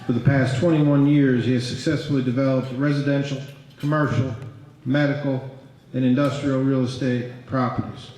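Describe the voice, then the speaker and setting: A man reads a formal introduction at a podium, his delivery even and flat. His voice rings with heavy echo through a large hall, with faint room noise underneath. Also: mid-range voice, normal speed. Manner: steady, reads a list of credits. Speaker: presenter introducing inductees. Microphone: podium microphone. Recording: very echoey hall over a PA.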